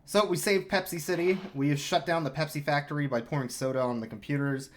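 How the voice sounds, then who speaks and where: A man's voice: on the high side, fairly even intonation, quick pace. Laid-back man commenting on a speedrun. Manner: laid-back, rambling, self-mocking. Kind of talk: casual live gameplay commentary.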